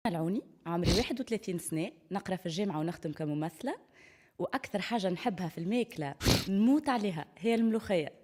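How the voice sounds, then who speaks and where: A woman in her thirties with a Tunisian Arabic accent, giving a lively, upbeat self-introduction to camera. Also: on the low side, very fast. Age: 30s. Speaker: actress introducing herself in a clip.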